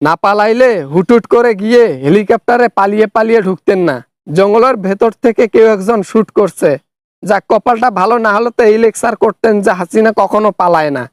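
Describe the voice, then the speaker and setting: A man's voice: high-pitched, a little fast, smooth, dry recording, studio quality. A man with a Bangladeshi accent hosting a satirical cartoon skit, speaking in a scolding, sarcastic way. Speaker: parody channel host, accuser in skit.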